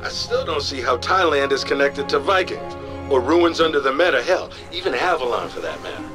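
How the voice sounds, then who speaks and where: Man as skeptical game sidekick, medium pitch. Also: expressive, brisk, over music, lightly acted. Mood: skeptical, uneasy. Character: skeptical, wry male research helper. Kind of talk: video game cutscene acting.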